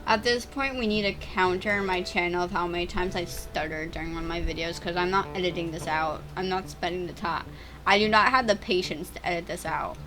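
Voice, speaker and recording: A woman's voice: steady tone, fairly quick, a bit bright, soft background music, poor audio. Stammering, chatty young gaming commentator girl. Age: teens. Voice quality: voice getting deeper and rougher over time. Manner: chatty, stammering, restarts words. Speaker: gaming YouTuber. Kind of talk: rambling gameplay commentary.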